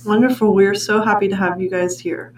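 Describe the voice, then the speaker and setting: A woman's voice: medium pitch, fairly even intonation, fairly quick, a little mellow, far from the mic. Teen girl podcast host, chatty and giggly; roomy sound, mic set back, faint hum. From Pennsylvania, USA. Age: late teens. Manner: chatty, laughs between questions. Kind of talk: casual podcast interview. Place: library meeting room.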